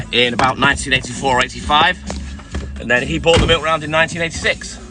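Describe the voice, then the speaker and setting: A man's voice: mid-range voice, fairly quick, music in the background. A man who works as a milkman chatting casually on a live stream, rambling through stories and greeting viewers by name, with a mixed English accent that belongs to no one region. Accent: mixed English, no clear regional accent. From English Midlands, raised partly in North Wales. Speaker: milkman livestreamer. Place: in and around a milk van with his dog.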